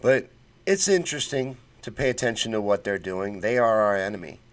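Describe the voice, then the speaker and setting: A low-voiced man hosts a casual livestream and answers chat comments. He talks bluntly, with folksy slang and fired-up conviction. His voice sits on the low side. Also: fairly quick. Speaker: political livestream host.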